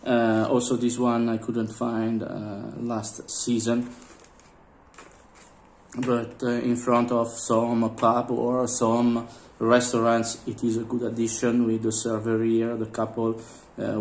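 A man with a cold talks in a hoarse voice, pausing often and clearing his throat. His non-native English comes slowly. The room echoes and faint noise sits behind him. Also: medium pitch, monotone, darkish tone. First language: Italian. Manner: halting, many fillers and throat clearing. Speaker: vlogger. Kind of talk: casual unboxing commentary. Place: indoors at a table.

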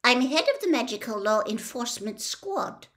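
A woman voicing a polite but firm male ministry official in a storybook reading, formal and measured. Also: on the high side, very animated, fairly bright tone, voice pushed up.

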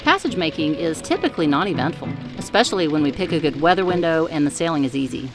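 Woman doing calm sailing voiceover, even and measured, music under it. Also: sits low. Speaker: vlogger narrating a sailing trip. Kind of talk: scripted voice-over narration.

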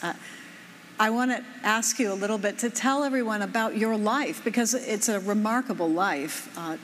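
A woman interviewing onstage, affirming her guest before asking questions. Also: medium pitch. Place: large conference stage. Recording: reverberant hall, faint noise.

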